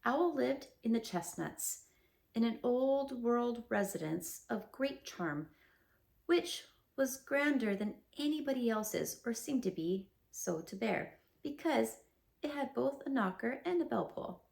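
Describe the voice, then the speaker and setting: Gravelly woman reading a storybook aloud. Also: fairly high pitch, animated, slow, a little mellow. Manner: chatty asides to listeners. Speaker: storybook reader. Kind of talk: children's read-aloud narration.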